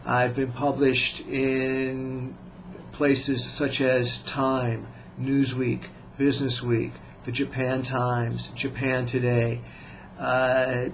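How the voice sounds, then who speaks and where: Slow, gravelly-voiced American man in his fifties. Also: mid-range voice, muffled recording, a little hiss or hum. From United States. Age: 50s. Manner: slow, measured and deliberate, with many hesitant pauses. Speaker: writer and vlogger. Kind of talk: self-introduction to camera.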